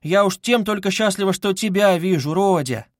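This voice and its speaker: A man's voice: sits high, moderate pace, dry recording, clean studio sound, voice pushed up, natural voice. A man voicing an anxious, tender older mother who speaks in a flustered, gushing, placating way. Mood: tender, happy.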